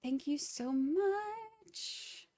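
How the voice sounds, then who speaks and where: A woman's voice: sits high, lively intonation, very slow, dark tone, dry recording, studio quality. A woman with a Boston accent hosting a live trial commentary stream, chatting wryly and reading out viewer comments. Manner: chatty, wry, rambling asides.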